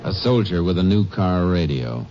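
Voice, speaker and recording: Low, firm lawman; muffled vintage radio drama recording. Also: animated, normal speed, over loud music. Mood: grim narration. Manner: clipped, firm, interrogating. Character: steady, hard-pressing male Texas Ranger investigator. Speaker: lead detective and narrator. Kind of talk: 1950s radio drama acting. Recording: muffled old radio broadcast.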